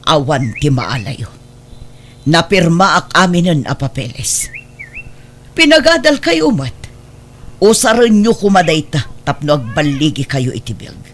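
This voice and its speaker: A woman playing a stern, wealthy middle-aged mother in an audio drama, firm and reproachful, with a Northern Philippine accent. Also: sits low. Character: stern, wealthy ailing adoptive mother putting her affairs in order. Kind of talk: emotional audio drama acting. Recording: clear background noise.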